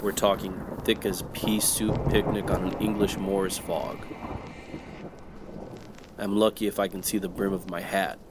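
Man acting a low-voiced hard-boiled detective. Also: flat delivery, a little slow, noisy background. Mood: wry, brooding. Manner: gruff, wry, world-weary. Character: hard-boiled, wisecracking male supernatural private detective. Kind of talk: noir first-person narration, old-time radio drama.

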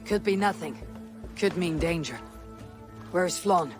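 A woman's voice: on the low side, expressive, slow pace, over music. An actress playing a stoic, blunt Viking warrior woman in a game cutscene, speaking in a terse, dry, matter-of-fact way. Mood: grave, measured.

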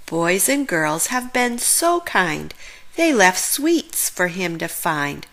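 A woman narrates a picture book for young children at a very leisurely pace. Her pitch rises and dips in a lively way. She stretches words and leaves dramatic pauses for effect. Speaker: children's story reader.

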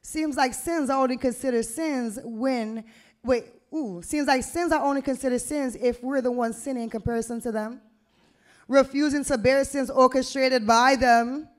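A young woman performing spoken word poetry into a stage mic, with deliberate, emphatic, rhythmic phrasing. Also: high voice, steady tone. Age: young adult. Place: small indoor venue stage with brick wall. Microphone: handheld-type vocal mic on a stand, close to the mouth.

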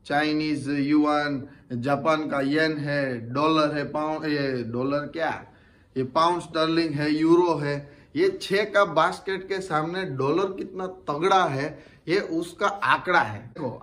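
Young man, slightly raised pitch, casual explainer, step-by-step chatter, phone camera at home. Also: a bit bright. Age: young adult. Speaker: family vlogger. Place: living room at home, indoors. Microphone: phone camera close up.

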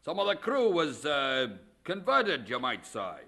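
An actor playing a gruff, grudging sea captain in a radio drama, gravelly and plain-spoken, with rustic grammar. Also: male voice, fairly high pitch, wildly swooping pitch, a little slow, sharp tone, exaggerated voice.

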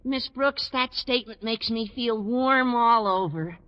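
Eager high-pitched teenage schoolboy. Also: male voice, unhurried. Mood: confiding. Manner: gushing, earnest, boyish. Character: eager, squeaky teenage schoolboy, naive and conspiratorial. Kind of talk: 1940s radio sitcom acting. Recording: muffled, noisy 1940s radio recording.

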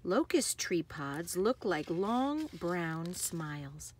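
A woman reads a children's picture book aloud in an unhurried, very lively voice with a slightly husky edge. She phrases deliberately and leans into the action words. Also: fairly low pitch. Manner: animated, emphasizing action words. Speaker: read-aloud host.

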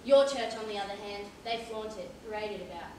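A woman's voice: mid-range voice, fairly quick, a little mellow, natural voice. A woman acting a pompous, condescending male police inspector who interrogates people in an amateur stage play. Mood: pompous, patronising. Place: large theatre stage, indoors. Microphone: far audience camera. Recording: distant echoey theatre stage, noisy.

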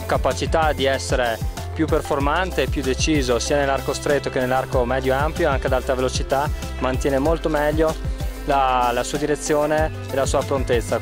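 A man reviewing skis with enthusiasm. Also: medium pitch. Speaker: ski tester. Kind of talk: product review.

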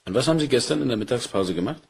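An actor playing a polite, probing police inspector in a crime radio play, questioning in a measured, courteous way. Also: male voice, medium pitch, animated, gravelly, slight background noise.

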